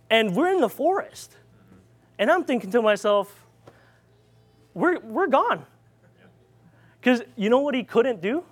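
A man's voice: sits high, fairly bright tone. A man from the Philippines with a Filipino accent, preaching in a loose, rambling storytelling way with many fillers and restarts. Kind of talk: informal sermon storytelling.

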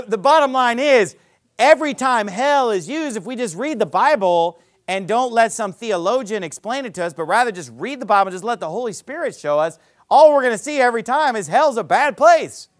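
A man preaching a sermon as pastor in a church, emphatic, with loud peaks, low drops and sarcastic asides. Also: big pitch swings, normal speed.

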